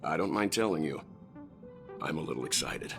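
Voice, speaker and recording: An actor voicing a composed, gentlemanly middle-aged man in a video game, calm, measured and dignified. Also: very deep voice, creaky, over music, exaggerated voice. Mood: calm, quietly excited. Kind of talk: scripted game dialogue.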